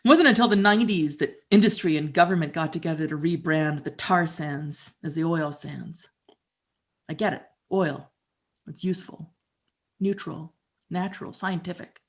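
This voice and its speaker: A middle-aged woman from Canada, performing a theatrical storytelling monologue in a wry, conversational, expressive way. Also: low-pitched, moderate pace.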